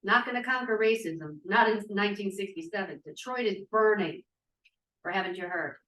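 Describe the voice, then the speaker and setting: Distant and echoey, an actress plays a blunt young woman activist with a mid-pitched voice. She is cynical and challenging, in the style of a sixties drama read aloud at a table. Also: moderate pace, fairly bright tone, natural voice. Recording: echoey, distant room sound.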